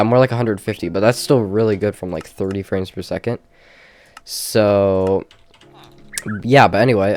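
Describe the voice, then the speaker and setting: A young man with a slightly low voice talks into a desk mic, his nose audibly stuffed up. He rambles casually through a tour of his game world, leaning on fillers and restarts. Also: darkish tone. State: stuffy, congested. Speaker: gaming channel host. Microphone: desk mic with face cam.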